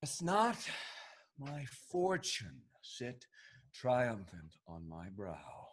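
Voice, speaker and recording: A man's voice: medium pitch, big pitch swings, extremely slow, breathy edge, heavily acted. An actor playing a roguish, lustful English sea captain in a Restoration comedy, savouring his words theatrically. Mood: swooning, lustful. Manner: theatrical, lingering, savouring delivery with exclamations. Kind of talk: Restoration comedy stage acting.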